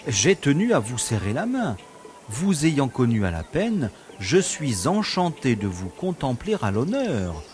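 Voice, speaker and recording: An actor playing a courteous prison director in an audio drama, with florid, polite phrasing and a matter-of-fact manner. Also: male voice, medium pitch, wildly swooping pitch, measured pace, a little mellow, a little hiss or hum. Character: courteous, genial prison director, proud of his inmates.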